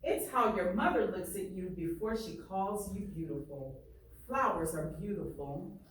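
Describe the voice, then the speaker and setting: A woman teacher speaks slowly and deliberately, repeating phrases and questions for emphasis as she addresses a class. Her voice comes from a distance in a very echoey room, with faint noise. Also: fairly low pitch. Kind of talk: read-aloud and class questioning. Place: indoor classroom.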